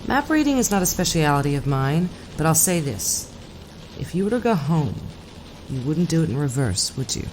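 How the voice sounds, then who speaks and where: A woman acting in an audio drama as an enigmatic hitchhiker, an unflappable collector who speaks in a measured, cryptic, knowing way. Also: deep voice, sing-song, a little mellow, a little hiss or hum, rough recording. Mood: teasing, knowing.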